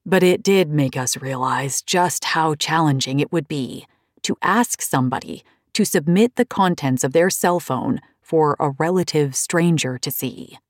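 A woman reading memoir narration in a measured, deliberate way. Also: low voice, no room sound, clean studio sound.

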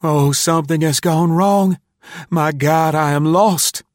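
An earnest, polite teenage boy in an audiobook tale, voiced by a man, pitched well up from a grown man's register. He is a frightened, pleading youth, and the sound is close and dry. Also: steady tone, unhurried, no room sound, close to an everyday voice. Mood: desperate, terrified. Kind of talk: audiobook character dialogue.

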